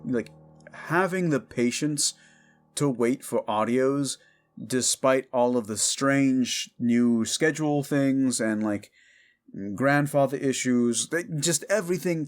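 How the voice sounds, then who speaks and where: A man in his thirties chatting informally to his listeners as the host of an audio drama channel, in a rambling, unscripted way. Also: mid-range voice. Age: 30s. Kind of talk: casual rambling outro to listeners.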